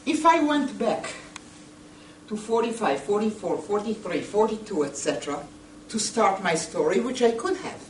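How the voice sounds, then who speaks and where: Lady in her 60s giving a talk, deliberate and punchy, talks right at the audience, mic kinda far off, mid pitched voice. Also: expressive. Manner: deliberate, emphatic, rhetorical questions, addresses listeners directly. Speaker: lecturer, museum educator. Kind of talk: public lecture to a seated group. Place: indoor meeting room. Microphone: camera a few metres away. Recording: roomy, distant camera microphone with noise.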